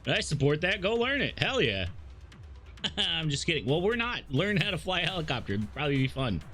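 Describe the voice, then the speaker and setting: A man's voice: on the high side, big pitch swings, fairly quick, pushed and bright, soft background music. A man livestreaming a video game with casual commentary, playful joking asides and bits of dialogue read aloud.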